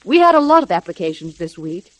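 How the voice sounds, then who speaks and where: A woman's voice: on the low side, sing-song, brisk, no room sound, rough recording. An actress playing a cheery, proud young lady club president, polite and enthusiastic, in 1930s radio style. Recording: vintage 1930s radio recording.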